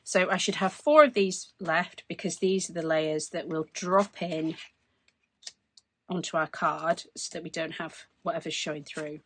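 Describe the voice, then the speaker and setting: A woman in her forties, hoarse from losing her voice, chatting through a craft demonstration at her desk. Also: low-pitched, measured pace, fairly bright tone. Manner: chatty, explains as she works. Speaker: craft tutorial host. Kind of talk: casual step-by-step crafting demonstration. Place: craft desk indoors, overhead camera.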